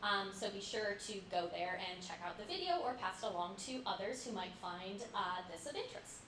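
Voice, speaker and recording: A woman's voice: medium pitch, lively intonation, a little fast, fairly bright tone, slight background noise. Woman speaking from far off in a roomy hall. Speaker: course instructor. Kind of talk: opening remarks to a class. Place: lecture room. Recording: echoey room, distant mic.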